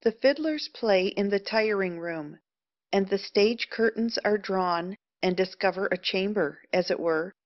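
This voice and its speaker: A woman's voice: medium pitch, no echo, lightly acted. A woman narrating the scripted stage directions of an audio play. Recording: dull, lo-fi, dry audio.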